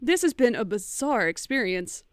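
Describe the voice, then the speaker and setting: A polite, practical traveler with a touch of wariness, acted by a woman, in a fantasy audio drama. Her voice is on the high side and closely recorded. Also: big pitch swings, normal speed, no echo, clean studio sound. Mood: wary.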